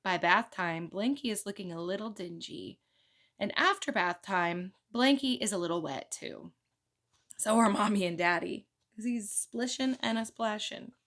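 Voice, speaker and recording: A woman reading a picture book aloud to children in an expressive way, pausing to ask them simple questions. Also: medium pitch. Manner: expressive, child-directed delivery. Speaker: children's storytime reader, mother.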